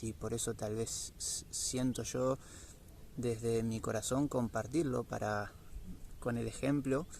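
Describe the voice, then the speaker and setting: Argentine male, low-pitched with heavy vocal fry, reflective spiritual vlogger, halting delivery, faint background noise. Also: a little mellow.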